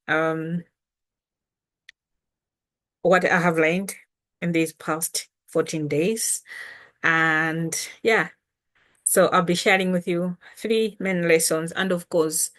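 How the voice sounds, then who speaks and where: Woman from Malawi, Chitumbuka native, language teacher; slow, patient delivery, lowish voice. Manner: unhurried, patient, repeats and spells out words. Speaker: language teacher and channel host. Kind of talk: casual language lesson to camera.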